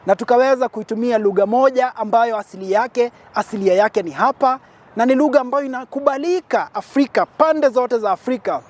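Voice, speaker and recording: A man's voice: very high voice, fairly quick, a little mellow. A man from Kenya, giving an impassioned advocacy speech outdoors and building his points through emphatic, repetitive lists. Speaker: petitioner.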